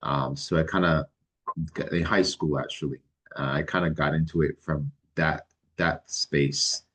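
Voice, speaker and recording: Middle-aged man, extremely low voice, relaxed and hesitant, lots of ums. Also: husky. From Barbados. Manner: halting, many ums and restarts, relaxed. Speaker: guest, licensed mental health counselor. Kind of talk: casual interview answers.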